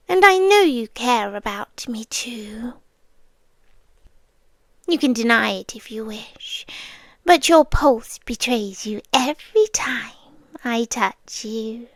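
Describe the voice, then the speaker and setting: A British woman playing a possessive, lovesick vampire girlfriend in an intimate ASMR roleplay, speaking softly and breathily, often slipping into whispers, with a coaxing, lingering delivery. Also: on the high side, no room sound, clean studio sound. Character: possessive, lovesick immortal vampire girlfriend, tender yet menacing.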